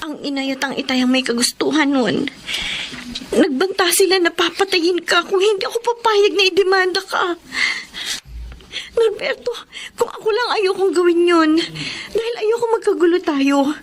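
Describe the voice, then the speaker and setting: A woman's voice: very high-pitched, normal speed. A woman playing a long-suffering, loyal and wronged wife in a radio drama, pleading and emotional. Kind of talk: Tagalog radio drama acting.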